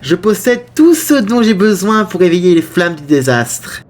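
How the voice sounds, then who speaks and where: High-pitched pompous mad scientist villain played by a man. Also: big pitch swings, moderate pace. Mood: gloating, menacing. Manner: pompous, menacing. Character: scheming male mad scientist, grandiose would-be world conqueror. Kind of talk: fan-dub cartoon villain acting.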